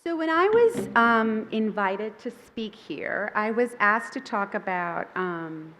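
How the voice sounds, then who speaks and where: Woman author at a festival talk, chatty and upbeat, mid-pitched, many ums and restarts, first language Thai. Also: expressive, slow pace, fairly bright tone. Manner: upbeat and chatty, with frequent fillers and self-corrections. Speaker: picture book author giving a festival talk. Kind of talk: conversational presentation to a live audience.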